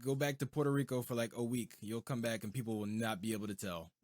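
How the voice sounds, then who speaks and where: A young man co-hosting a podcast in casual chat, rambling and quick to laugh. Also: medium pitch, fairly even intonation, darkish tone, no echo, clean studio sound. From United States. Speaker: podcast co-host.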